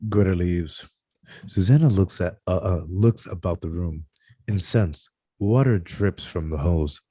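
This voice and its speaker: A man's voice: low voice, a little slow, warm tone, breathy edge, dry recording, studio quality. A man reading screenplay stage directions aloud, sometimes stumbling over a word and correcting himself. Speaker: reader of stage directions at a script table read. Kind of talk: scripted narration read aloud live.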